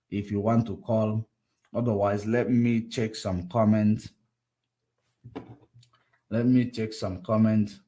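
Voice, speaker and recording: A man hosting a political livestream, combative and repetitive, swinging between loud outbursts and low muttering. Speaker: livestream host. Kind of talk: casual political commentary livestream.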